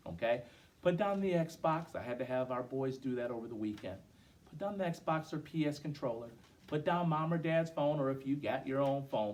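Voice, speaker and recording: A middle-aged man with an American accent hosts story time for children. His voice sits at an average male pitch. He speaks in a conversational, teacherly way, addressing young listeners directly as he shares a poem. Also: a little mellow. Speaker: guest storyteller, former teacher.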